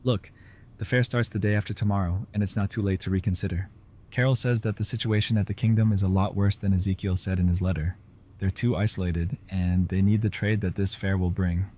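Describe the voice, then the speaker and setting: A man's voice: deep voice, fairly even intonation, brisk, a little mellow. A man playing an earnest, idealistic councilman arguing for cooperation in an audio drama. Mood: urgent, persuasive. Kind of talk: full-cast audio drama acting.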